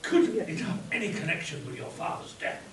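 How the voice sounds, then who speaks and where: Heard from a distance over crowd noise, a man acts a formal, inquisitive lawyer in a pulp radio spoof. His voice is high and animated, brisk with suspicion. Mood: suspicious, inquiring. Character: Ham, brisk suspicious lawyer, male adventurer's aide. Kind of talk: spoof radio play acting. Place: convention stage before an audience. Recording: echoey room from afar, audience noise.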